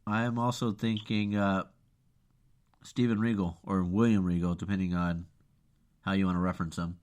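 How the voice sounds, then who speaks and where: A podcast host with a cold, sounding nasal and congested, chatting bluntly and offhand. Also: male voice, deep voice, little pitch movement, normal speed, darkish tone. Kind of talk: casual chat.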